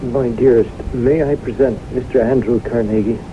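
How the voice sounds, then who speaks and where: An actor playing an earnest, formal, middle-aged businessman suitor, speaking deliberately and courteously in a radio drama. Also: medium pitch, fairly even intonation, close to an everyday voice. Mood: proud. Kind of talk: 1970s radio drama acting. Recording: noisy muffled old radio broadcast recording.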